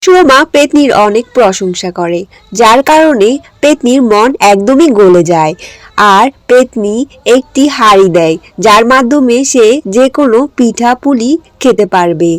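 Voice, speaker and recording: A woman's voice: on the high side, lively intonation, measured pace, dry recording. A woman narrator reading a scripted children's story.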